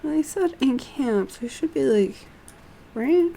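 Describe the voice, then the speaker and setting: A woman streaming a game, commenting casually and haltingly as she thinks aloud and murmurs to herself. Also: sits high, lively intonation, creaky, mellow, a little hiss or hum. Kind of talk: casual live gameplay commentary, reading quest dialogue aloud.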